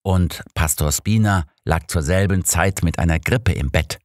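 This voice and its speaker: A man's voice: sits low, unhurried, dry recording, studio quality. A man voicing a distraught, devout cardinal in an audiobook, speaking formally with grave, pleading earnestness. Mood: earnest, insistent.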